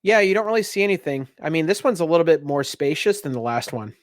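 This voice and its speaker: A man with a slightly high voice runs a tabletop game as game master. He improvises his descriptions in a casual way, and the sound is close and dry. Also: expressive, fast, dry recording.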